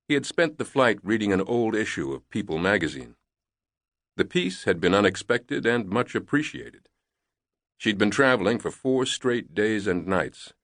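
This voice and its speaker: A man narrating a thriller novel in a measured, deliberate reading on a close studio mic. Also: fairly low pitch, fairly bright tone, no room sound. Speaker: audiobook narrator.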